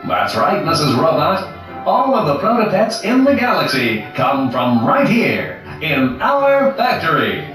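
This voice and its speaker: An actor playing a chipper male corporate pitchman in a promotional infomercial from a video game. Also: huge pitch swings, a little slow, fairly bright tone, heavily acted. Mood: reassuring, salesy. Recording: echoey, distant promo audio with music.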